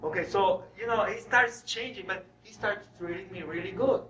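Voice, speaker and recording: A man from Venezuela speaks in a high, bright voice, giving a spiritual lecture built on personal stories. He is animated, slipping into dialogue as he goes. The sound is roomy, picked up from a distance. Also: moderate pace. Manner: animated storyteller acting out dialogue. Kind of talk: spiritual talk to an audience, personal storytelling. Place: room with an audience. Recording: roomy, distant mic, faint noise.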